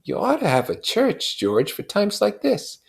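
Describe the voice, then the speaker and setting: An anxious, well-meaning male friend from a novel, played by a man reading aloud. He coaxes and pleads with gentle insistence. His pitch sits far up and swings about freely. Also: wildly swooping pitch, unhurried, vocal fry, full character voice. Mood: coaxing, insistent.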